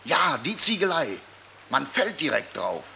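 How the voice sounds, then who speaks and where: A man's voice: on the high side, very animated, unhurried, gravelly, bright, forceful tone, a little hiss or hum, exaggerated voice. An actor playing a bossy, cocksure fugitive man who scolds and lectures his comrade impatiently, in a German radio play. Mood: impatient, scolding.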